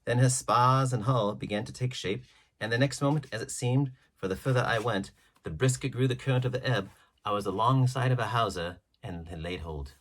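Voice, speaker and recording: A man narrates a literary adventure tale as an audiobook reader. His voice sits at a medium pitch and has a husky, unpolished grain to it. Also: a little fast, a bit bright.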